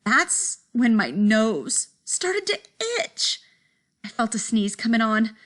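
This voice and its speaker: Storybook wolf, voiced by a woman: indignant, folksy self-excuser, very lively melody, forceful bright tone. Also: fairly high pitch, slow pace, heavily acted. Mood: earnest, explaining. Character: self-excusing big bad wolf telling his side. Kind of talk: animated picture-book read-aloud.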